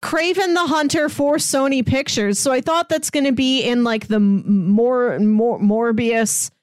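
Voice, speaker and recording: Female podcast co-host, high-pitched, wry conversational banter, dry studio-like sound. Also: unhurried, clean tone, no room sound. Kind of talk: casual, joking pop culture chat.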